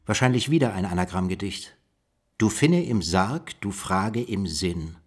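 Pensive male investigator, radio play, low voice, questioning and deliberate. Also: lively intonation, slightly rough, exaggerated voice. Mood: musing. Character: pensive, questioning male investigator puzzling over coded documents. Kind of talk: radio play dialogue and document reading.

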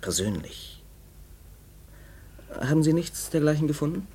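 An actor playing an uneasy, hot-tempered young man with secrets, probing and sometimes halting, in a 1950s German radio play. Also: on the high side, big pitch swings, mellow, slightly rough, full character voice.

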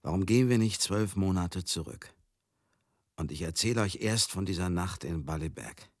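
An actor in a German radio drama monologue, playing a garrulous, rough-mouthed showbusiness man, chatty, theatrical and excitable. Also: sits low, moderate pace, dry recording, studio quality. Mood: agitated confiding. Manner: chatty, theatrical, swinging into outbursts.

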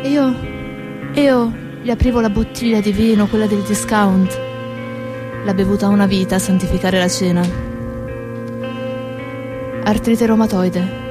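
Actress as a grieving daughter over guitar music. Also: female voice, medium pitch, lightly acted. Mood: grieving, bitter. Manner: dramatic, deliberate pauses, emphatic. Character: grieving, bitter daughter of a dead factory worker. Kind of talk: theatrical monologue over guitar. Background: acoustic guitar music.

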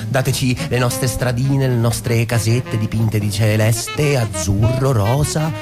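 An actor playing a restless, disillusioned American husband in theatrical stage-drama style, intense and bitter. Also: male voice, medium pitch, slow pace, close to an everyday voice. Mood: scornful, agitated. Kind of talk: stage-drama acting with literary monologues. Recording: music behind the voice.

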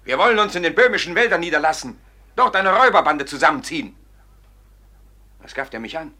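Bombastic, bright-voiced young male schemer. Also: a little high, a little fast, heavily acted. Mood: rousing. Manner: bombastic, excitable declamation. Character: boastful young male schemer, feverish dreamer of grand plans. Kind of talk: classical German radio play acting.

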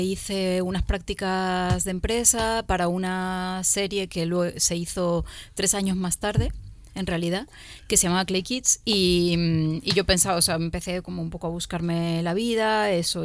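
Low-voiced woman, laughing guest. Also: dry recording. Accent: Spanish from Spain. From Argentina. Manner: laughs often, self-deprecating. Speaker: guest, stop-motion animator. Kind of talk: casual interview chat.

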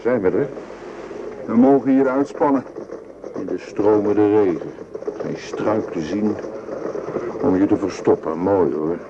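An actor playing a coarse, grumbling, rebellious foot soldier in a radio drama. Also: male voice, lowish voice, a little slow, long pauses. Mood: weary grumbling. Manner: coarse, griping, hot-tempered. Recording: muffled, noisy old radio recording.

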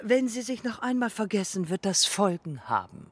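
An actress playing a proud, haughty and demanding film star in a German radio drama. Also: female voice, medium pitch, very animated, moderate pace, slightly rough. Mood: indignant, cool. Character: proud film actress, imperious, cool and insistent.

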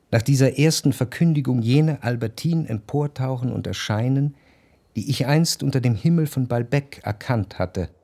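An actor playing a reflective male narrator, delivering measured, introspective literary narration in a German radio play. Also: lowish voice, vocal fry, mellow, no echo. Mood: reflective, lyrical. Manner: measured, introspective, long flowing sentences. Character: reflective, jealous male narrator recalling his past.

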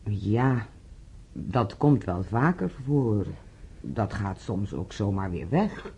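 An actress playing a kindly, patient hospital nurse in a radio drama, reassuring and gently coaxing. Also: female voice, rumbling low, sing-song, takes it slowly, a little hiss or hum, heavily acted. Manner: soothing, coaxing, checking with little questions. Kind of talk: naturalistic radio drama acting. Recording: dull, muffled old radio recording.

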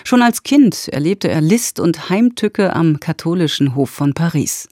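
A woman's voice: low voice, animated, measured pace, a little mellow, no room sound, clean studio sound. A woman announcer reading scripted narration.

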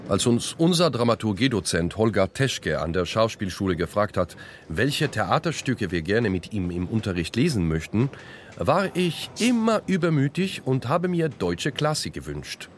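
A man reads scripted first-person narration for a radio feature. His voice is low but swings widely in intonation, with pointed, deliberate phrasing. There is faint noise behind him. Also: very animated. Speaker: narrator and author of a radio play.

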